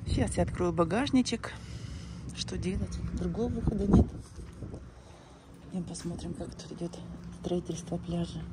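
An older woman chatting casually for a vlog, musing in unhurried short phrases, recorded on a handheld phone. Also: lowish voice, heavy background noise. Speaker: travel vlogger. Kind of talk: casual first-person vlog chat. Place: outdoors by the shore, in a car, in a cafe.

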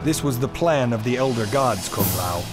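An actor playing a solemn, commanding thunder god who mentors warriors in a video game, speaking formally and with measured gravity. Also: male voice, mid-range voice, lively intonation, close to an everyday voice. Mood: solemn, approving. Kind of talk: video game cutscene acting. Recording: loud music behind the voice.

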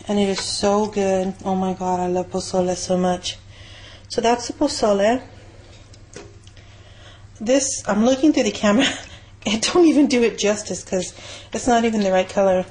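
A woman's voice: medium pitch, normal speed, long pauses, a little mellow. A woman giving a casual, rambling, unscripted cooking demonstration as a home cook in her kitchen. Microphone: handheld camera mic. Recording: noisy amateur camera audio with clicks.

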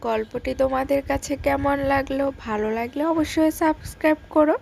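A woman's voice: high-pitched, brisk, vocal fry, darkish tone, a little hiss or hum. A woman narrating a scripted fairy tale for children as the storyteller.

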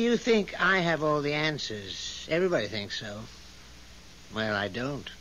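A man acting in a 1970s radio drama as God, mild, unhurried and modest, musing hesitantly and gently evasive. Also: mid-range voice, exaggerated voice, low-quality recording. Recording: hissy old radio recording.

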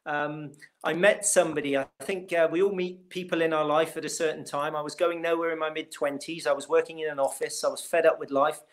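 A man's voice: a little high, level tone, a little fast. A middle-aged man with a West Country English accent, a historian guest on a video call, speaking in a fluent storytelling way. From West Country of England. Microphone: video call webcam.

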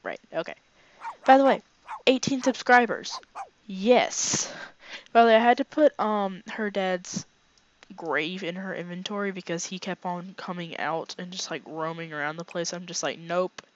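A young woman with a raspy voice that is fading from illness, chatting casually through a let's play with lots of fillers and asides. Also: on the low side, normal speed. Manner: rambling, filler-heavy, chatty. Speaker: gaming channel host. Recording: slightly dull lo-fi home recording.

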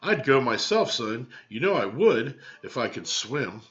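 A man's voice: mid-range voice, big pitch swings, unhurried, fairly bright tone, lo-fi sound. A man voicing a proud, worried father beetle in a storybook, emphatic and earnest.

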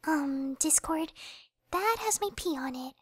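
A woman voicing a gentle, caring, worried young pegasus pony in an animated radio play, soft-spoken and earnest. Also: sits high, a little slow. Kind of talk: fan-made animated radio play acting.

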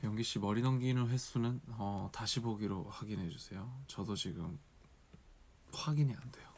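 A man's voice: lowish voice, moderate pace, slight background noise. A man in his twenties with a regional Korean dialect accent, hosting a casual late-night phone livestream in a dim room, drowsy and meandering as he mumbles to himself. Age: 20s. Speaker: idol livestream host. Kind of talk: casual solo livestream chat with viewers. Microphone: phone camera at arm's length.

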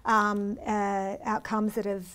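A woman's voice: mid-range voice, completely flat delivery, takes it slowly, a bit bright. A middle-aged woman moderating a panel discussion in an informal, conversational style. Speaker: panel host. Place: indoor studio panel set.

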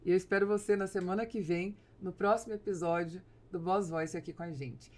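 A woman's voice: lowish voice, a little fast, a little mellow. A woman with a Brazilian accent, hosting a podcast conversationally at a close studio microphone. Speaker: podcast host. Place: small foam-padded podcast studio. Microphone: studio microphone on boom arm, close.

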